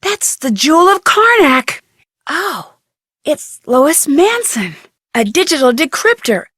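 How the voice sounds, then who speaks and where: A woman's voice: sits high, big pitch swings, slow, a bit bright, dry recording, studio quality, heavily acted. A woman voicing a curious, plucky young female detective in a video game, musing aloud expressively.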